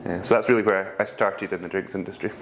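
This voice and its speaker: Scottish man, low voice. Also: background noise. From Scotland. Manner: relaxed storytelling with frequent ums and pauses. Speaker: guest, whisky brand ambassador. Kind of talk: casual interview answers.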